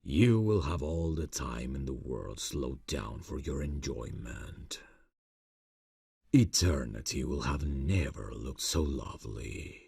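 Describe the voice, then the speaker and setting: Ancient male vampire boss, heavy vocal fry, breathy husk, courtly, silky menace, fantasy ASMR roleplay. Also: lively intonation, mellow, no echo, clean studio sound, heavily acted. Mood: persuasive, seductive. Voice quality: very deep, gravelly, breathy. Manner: slow, formal, silky, deliberate. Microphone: close microphone, dry sound.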